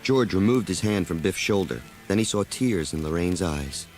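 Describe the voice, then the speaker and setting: A man's voice: sits low, lively intonation, moderate pace, darkish tone, soft background music. A man narrating a children's storybook in a scripted read-along style.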